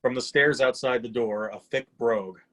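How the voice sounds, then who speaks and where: A man's voice: mid-range voice, moderate pace, a bit bright. A man reading stage directions as scripted narration for a play reading.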